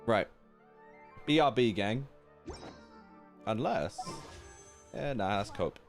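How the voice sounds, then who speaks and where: A man's voice: fairly low pitch, lively intonation, takes it slowly, gravelly. A man giving casual, slangy, chatty commentary as he streams a game, with music faintly behind him. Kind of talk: casual reaction commentary while gaming.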